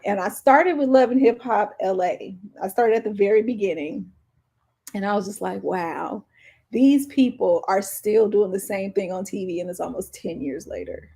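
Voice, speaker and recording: A woman's voice: mid-range voice, moderate pace, mellow, breathy edge. A woman co-hosting a podcast, chatting casually in a candid, wry, informal way. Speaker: podcast co-host. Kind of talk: casual chat.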